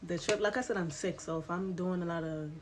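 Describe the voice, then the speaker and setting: A woman's voice: on the low side, fairly even intonation, a little fast, noisy background. A woman in her late twenties with a stuffy, congested nose, telling her own story in a candid, chatty vlog, swearing freely and checking in with the viewer. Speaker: vlogger. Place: home room with a TV playing.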